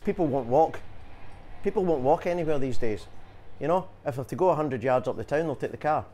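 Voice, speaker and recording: A man's voice: a little high, animated, brisk, warm tone, slight background noise. An older man, a local business owner, speaking bluntly and grumbling in an interview in a bar. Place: indoors in a bar.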